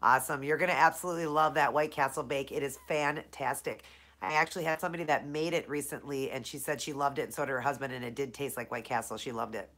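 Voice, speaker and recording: A woman's voice: rumbling low, fast, fairly bright tone. A woman from Minnesota hosting a casual live Q&A, chatting with viewers by name, her nose stuffed up from allergies. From Minnesota, USA. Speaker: live-stream host.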